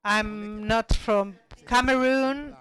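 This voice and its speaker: A panel speaker at a conference, presenting study findings in a slow, halting way with frequent restarts. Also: mid-range voice, fairly even intonation, a bit bright, clear, resonant tone.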